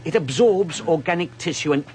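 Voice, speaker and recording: A man playing an agitated, fanatical scientist at a polar research station in an audio drama, halting and stammering as he explains intensely. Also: on the high side, lively intonation, darkish tone, a little hiss or hum, natural voice. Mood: uneasy, explaining.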